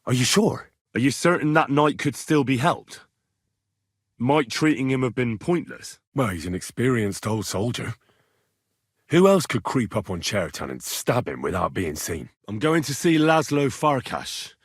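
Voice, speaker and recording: An actor playing an earnest, inquisitive young medieval blacksmith turned adventurer in a video game, speaking in a plain-spoken way. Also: male voice, medium pitch, sing-song, measured pace, breathy edge, no echo, clean studio sound, exaggerated voice. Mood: probing, determined. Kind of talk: video game dialogue lines.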